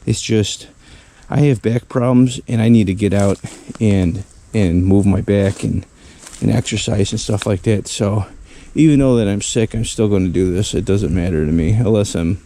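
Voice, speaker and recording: A man from Western New York with a hoarse voice from a cold, narrating his metal detecting finds outdoors in the snow, rambling and excited over each relic. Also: fairly low pitch, normal speed. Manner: rambling, enthusiastic about finds, many fillers. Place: outdoors in a snowy old park.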